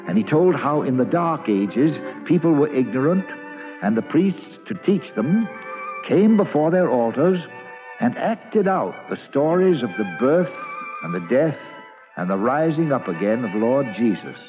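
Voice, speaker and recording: A man's voice: mid-range voice, big pitch swings, measured pace. A man playing a grown actor who fondly recalls his boyhood in a folksy old-time radio drama narration. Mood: proud, wondering. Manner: nostalgic, folksy storytelling. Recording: tinny vintage radio, loud music behind.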